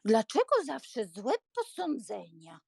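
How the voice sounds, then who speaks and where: An actress playing a flustered, pious, sentimental elderly spinster aunt in an audiobook drama, plaintive and fretful. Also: female voice, high voice, huge pitch swings, takes it slowly, vocal fry, warm tone, slightly rough, no room sound, studio quality, heavily acted. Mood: pleading, indignant. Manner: plaintive, fretful, easily shocked.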